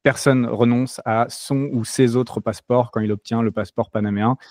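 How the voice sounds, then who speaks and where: A man's voice: medium pitch, moderate pace, no echo. A French-born man as an interview guest, talking casually with many hesitations and restarts. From France. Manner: relaxed, many fillers and restarts.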